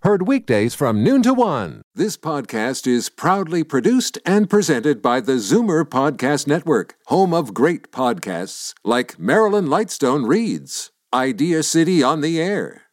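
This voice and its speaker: A man announcer reading a scripted podcast promo in a smooth delivery. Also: on the high side, sing-song, unhurried, hardly any pauses, no room sound, studio quality.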